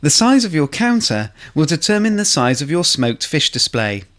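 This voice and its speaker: A man reading scripted instructional narration for a corporate training film, in a measured way. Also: a little high, very animated, a bit bright.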